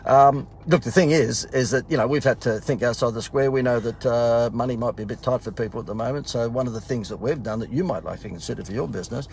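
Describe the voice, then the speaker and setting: A man, a marketing consultant chatting casually and jokingly in a promo vlog, a little husky from talking too much. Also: mid-range voice, quick pace, few pauses, darkish tone, a little hiss or hum. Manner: rambling, joking, many fillers and restarts. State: slightly husky from talking a lot. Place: in a car.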